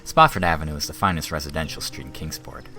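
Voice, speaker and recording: A man playing an easygoing young gentleman in an audiobook drama. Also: extremely low pitch, fast talker. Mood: genial, suggesting.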